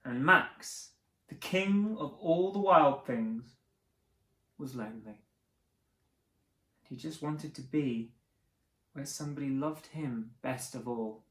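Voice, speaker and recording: A man hosting a picture book reading, narrating theatrically for children with dramatic pauses. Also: mid-range voice, fairly bright tone. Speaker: host reading picture books aloud. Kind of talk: animated children's story narration. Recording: roomy, echoing sound.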